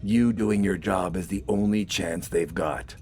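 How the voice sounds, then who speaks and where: A man's voice: low-pitched, steady tone, unhurried, darkish tone. A battle-weary corporal, played by a male narrator, barking and sardonic. He speaks with scornful irony in a science fiction audiobook, with faint music underneath. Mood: commanding. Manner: sardonic, heavily ironic, barking.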